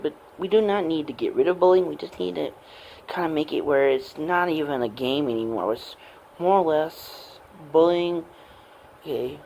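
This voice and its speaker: A man in his late twenties rambling off the cuff in a personal gaming vlog, with halting, meandering and broken phrasing. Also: fairly high pitch, darkish tone, slight background noise, low-quality recording. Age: late 20s. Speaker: amateur gaming vlogger. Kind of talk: rambling unscripted personal monologue.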